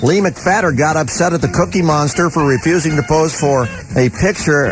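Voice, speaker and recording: A man's voice: fairly high pitch, normal speed, over music. A man hosting morning radio, reading odd news items and joking along with his colleague.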